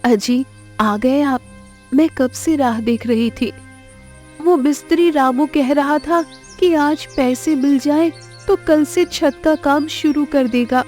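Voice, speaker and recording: Woman playing a high-voiced, worried village wife. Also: darkish tone, faint music behind. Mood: anxious, expectant. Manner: slow, complaining, emphatic delivery. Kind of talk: Hindi moral cartoon story acting.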